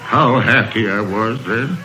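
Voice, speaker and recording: An actor playing an old male miser, regretful and pleading, in theatrical, halting 1930s radio drama style. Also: mid-range voice, noisy background, close to an everyday voice. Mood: wistful, moved. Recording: muffled old radio broadcast, faint music.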